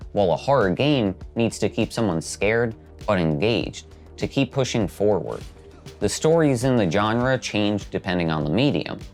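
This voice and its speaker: A man hosting a video essay, reading scripted narration. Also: lowish voice. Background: background music.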